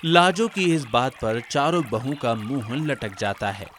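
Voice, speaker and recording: A man reading scripted narration for an animated family story as its storyteller. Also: medium pitch, measured pace, noisy background.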